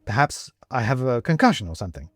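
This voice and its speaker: A man voicing a confused, agitated gentleman who keeps losing his memory, stammering and growing frantic. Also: medium pitch, very animated, fast, no echo, clean studio sound, soft background music, voice pushed up, natural voice. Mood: bewildered. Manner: stammering, flustered, demanding. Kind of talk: audiobook character reading.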